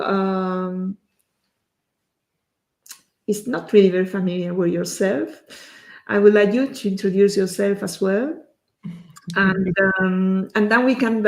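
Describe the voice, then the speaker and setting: Halting woman host in an echoey room. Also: mid-range voice, steady tone. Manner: halting, loosely built phrasing. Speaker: host of a livestream. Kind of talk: live stream interview.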